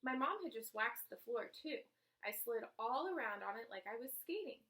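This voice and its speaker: A woman's voice: on the high side, expressive, normal speed, sharp tone. A woman voicing an earnest schoolboy in a storybook, rambling through his own tale.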